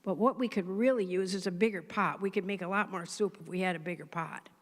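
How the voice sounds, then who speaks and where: A woman's voice: lowish voice, fast talker, close to an everyday voice. A woman voicing a friendly traveling stranger who coaxes villagers into helping make soup, with a persuasive manner. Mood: coaxing. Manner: coaxing, persuasive. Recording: long church hall reverb.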